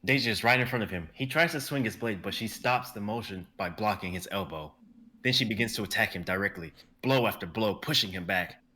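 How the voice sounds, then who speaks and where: A young man narrating stage directions in a live script reading, brisk and vivid, with the odd stumble. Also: on the low side, pushed and bright. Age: young adult.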